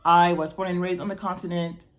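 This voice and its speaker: A woman's voice: on the low side, little pitch movement, a little fast. A woman from Morocco speaking off the cuff to camera as a video blogger, explaining things and checking in with the listener. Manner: explanatory, checks in with "okay?" and "right?". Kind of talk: unrehearsed personal talk to camera.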